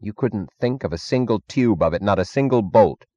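A man's voice: fairly low pitch, expressive, moderate pace, mellow, lo-fi sound, lightly acted. A man voicing an old scientist in an audiobook, proud and contemptuous, with educated, imperious outrage. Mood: bitter contempt. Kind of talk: audiobook character acting.

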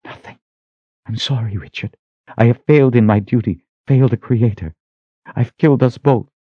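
A man's voice: medium pitch, fairly quick, no room sound, dull audio, pitched above the performer's own voice, natural voice. A man voicing a stern, frightened and devout religious sister in an earnest, scolding manner, as in an audiobook. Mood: despairing.

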